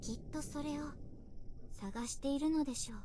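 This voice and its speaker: An actress playing a composed, solemn young advisor in a video game, speaking politely and formally with measured phrasing. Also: female voice, high voice, warm tone, natural voice. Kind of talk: Japanese video game voice acting. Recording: game audio with background ambience noise.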